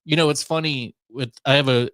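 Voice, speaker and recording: A man's voice: mid-range voice, fairly even intonation, fairly quick, fairly bright tone, dry recording. Male radio host, conversational and easygoing with a wry touch, mid-range voice, very little room. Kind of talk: casual talk radio chat.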